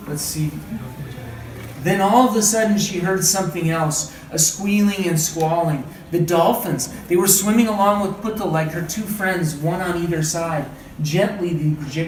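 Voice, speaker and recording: A man reading a story aloud as a teacher, with dramatic, deliberate phrasing and questioning asides. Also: fairly high pitch, a little mellow. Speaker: read-aloud teacher and trainer. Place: indoor room, training session. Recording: echoey room, distant mic, background noise.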